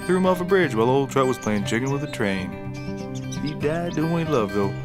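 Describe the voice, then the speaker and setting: An actor playing an earnest, simple and proud backwoods detective with a folksy manner, in a scripted comedy. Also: male voice, mid-range voice, expressive, over music, close to an everyday voice. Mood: earnest, insistent. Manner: folksy, often opens with a drawn-out "ooh".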